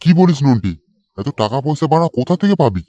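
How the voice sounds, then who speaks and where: A man voicing a gruff, teasing, grumbling village fellow in a comedy cartoon. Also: mid-range voice, sing-song, quick pace, dark tone, lo-fi sound, close to an everyday voice. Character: gruff, mocking village petrol pump guy and club mate.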